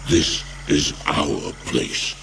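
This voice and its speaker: Very deep, gravelly creature shaman. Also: lively intonation, recorded from a distance, background noise, exaggerated voice. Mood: proud, stern. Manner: solemn, halting, formal declarations. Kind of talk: fantasy video game voice acting.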